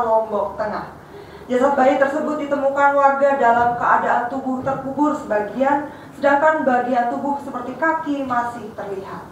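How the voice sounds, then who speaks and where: A woman police press officer practicing reading the news in a formal, even newsreader manner. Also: sits high, slight background noise. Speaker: police public relations officer. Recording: echoey room, voice from far off.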